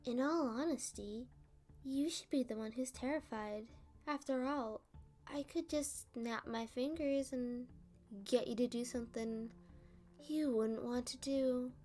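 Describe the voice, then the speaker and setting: A woman playing a playful, smug female supervillain in an ASMR roleplay, teasing and taunting with drawn-out phrasing. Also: high-pitched, darkish tone, faint music behind.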